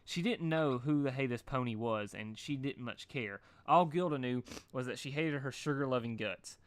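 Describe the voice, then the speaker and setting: A man narrates fan fiction in storybook style. The voice has a medium pitch, and the recording is dry with little room sound. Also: moderate pace, smooth, dry recording.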